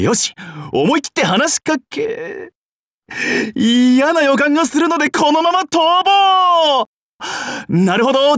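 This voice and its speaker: An actor playing a cocky young genius schemer in a game, with a wry, theatrical manner. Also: male voice, high-pitched, no echo, studio quality, exaggerated voice. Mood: playful, jittery. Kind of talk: game character acting.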